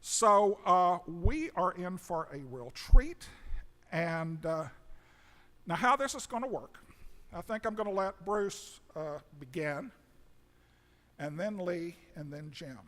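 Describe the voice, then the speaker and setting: A man moderating a panel from a podium in a museum auditorium. He speaks slowly in a high voice, joking and halting, with plenty of uhs. Long reverb from the hall trails his words. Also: lively intonation, a bit bright. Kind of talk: folksy conference introduction. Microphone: podium microphone.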